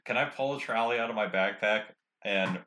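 A man's voice: on the low side, brisk, pushed and bright. A man playing a tabletop role-playing game, improvising casually in a jokey, sweary way.

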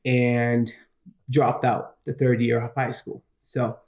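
A middle-aged man from Puerto Rico talks about his life as a podcast guest, reflective and halting, with lots of "uh"s between phrases. His voice sits in the middle range and sounds roomy and echoey, as if recorded in a bare room. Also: mid-range voice, flat delivery, darkish tone. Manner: hesitant, many uh fillers, reflective. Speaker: guest, business owner. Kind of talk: interview storytelling.

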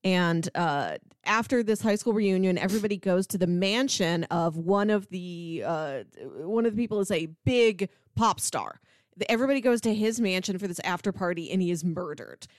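A woman's voice: lowish voice, moderate pace, no room sound, clean studio sound. A woman co-hosting a podcast, reviewing television in a casual, explanatory conversational way. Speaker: podcast co-host.

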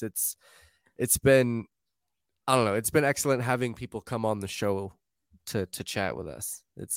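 A man's voice: on the low side, no room sound, clean studio sound. A man hosting a podcast, rambling casually with restarts and the odd swear word. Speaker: podcast host.

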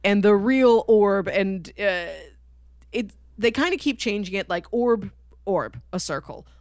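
A woman's voice: mid-range voice, lively intonation, measured pace, a little mellow, dry recording. A woman co-hosting a podcast, talking casually through a TV show and acting out imagined lines as she explains. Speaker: podcast co-host. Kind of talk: casual conversational TV show discussion.

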